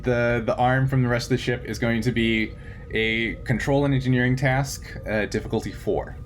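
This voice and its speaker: A man working as game master, narrating a tabletop game in a halting, conversational way with frequent chuckles. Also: medium pitch, fairly even intonation, a little hiss or hum.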